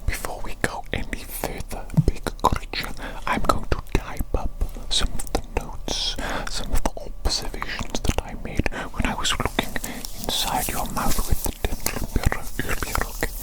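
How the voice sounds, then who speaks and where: A performer playing an eccentric evil doctor in a whispered ASMR medical roleplay, fussy and theatrical, repeating phrases in a breathy whisper. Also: moderate pace, few pauses, natural voice, poor audio. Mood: instructing. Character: eccentric evil doctor tending a big creature.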